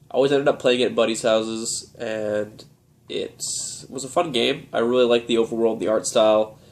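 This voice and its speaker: A young man with a cold, sounding stuffed up and nasal, chatting casually through a collector's show-and-tell vlog with lots of "uh"s. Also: lowish voice. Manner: relaxed, rambling, many "uh"s. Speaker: video game collector vlogger.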